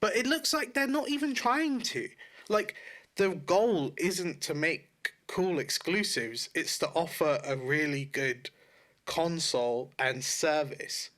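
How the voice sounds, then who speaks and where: Bright-voiced, higher-pitched man, blunt podcaster. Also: lively intonation, slow pace. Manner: blunt, slangy, sweary. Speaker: podcast co-host. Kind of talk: casual podcast chat about video games.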